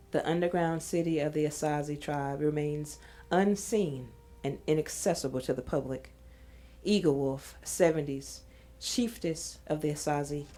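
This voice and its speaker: A woman's voice: low-pitched, warm tone. A woman reading scene directions as a scripted narration.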